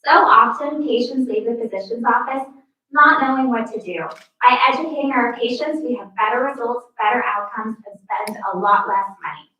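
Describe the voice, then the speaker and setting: A woman's voice: fairly high pitch, normal speed, pushed and bright, highs cut off. A young woman in her twenties, a medical student giving an upbeat prepared talk and counting off her points one by one. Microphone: no working mic, heard from afar. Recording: echoey hall from far off.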